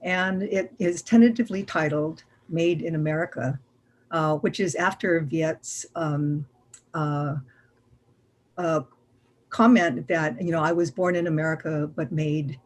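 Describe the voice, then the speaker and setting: A woman's voice: low-pitched, fairly even intonation, measured pace, slight background noise. A woman curator speaking on a panel in an earnest way, with frequent fillers. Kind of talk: panel discussion.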